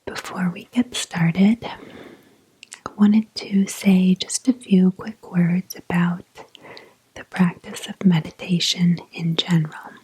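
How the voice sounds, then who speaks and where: A woman hosts a soft-spoken ASMR guided meditation. Her voice is slightly low and mellow, heavily breathy and whispery. She places her words gently, with deliberate pauses between short phrases. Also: fairly even intonation, poor audio.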